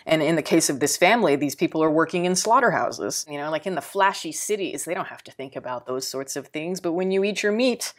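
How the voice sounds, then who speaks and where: A Texan woman with a notably low voice talks through a film she admires. Her delivery is loose and unscripted, circling back on her words with fillers as she works out her thoughts. It sounds like a relaxed video review from a home creator. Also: a little fast, hardly any pauses. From Texas. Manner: rambling, thinking aloud with frequent fillers and repeated words. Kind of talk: casual spoken film review.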